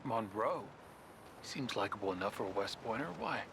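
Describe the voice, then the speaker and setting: An actor playing a laconic gunslinger in a video game, casual and quietly sympathetic. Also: male voice, on the low side, lively intonation, moderate pace. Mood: wry, low-key. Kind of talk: dramatic video game acting. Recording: clear noise behind.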